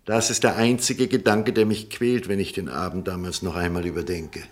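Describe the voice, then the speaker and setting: A man plays a clinic surgeon in a radio drama, his voice on the low side. He is formal and brusque, used to command. A troubled conscience makes him defensive and insistent. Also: steady tone, normal speed, natural voice. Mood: earnest, brooding. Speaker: actor. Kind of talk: German radio play acting.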